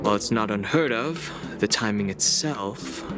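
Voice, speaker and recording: An actor playing a calm, serious, analytical young man in a video game, speaking in measured, formal phrases. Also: on the low side, natural voice. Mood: grave, pondering. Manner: measured, grave, formal wording. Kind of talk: English video game voice acting. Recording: loud background music under the dialogue. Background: game music.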